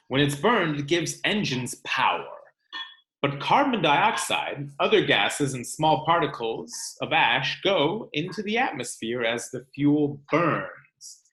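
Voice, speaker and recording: A man reading a book aloud to children like a teacher, expressive and instructional, pausing to explain. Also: fairly high pitch. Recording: roomy, echoing sound.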